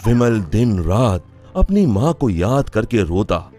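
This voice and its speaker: A man narrating a scripted animated story in a dramatic storytelling style. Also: lowish voice, slow pace. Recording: music under the voice.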